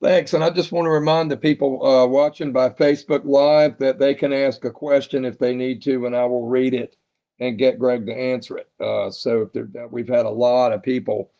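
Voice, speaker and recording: An American man with a mid-pitched voice hosts a radio net in a casual, informal way, handing over between speakers. The audio is a little dull and lo-fi. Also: steady tone, normal speed, mellow. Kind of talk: casual moderating of questions.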